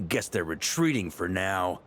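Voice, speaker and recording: An actor playing a confident, seasoned knight grandmaster in a video game. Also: male voice, deep voice, big pitch swings, measured pace, a bit bright, a little hiss or hum, heavily acted. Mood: calm, measured. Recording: background music behind voice.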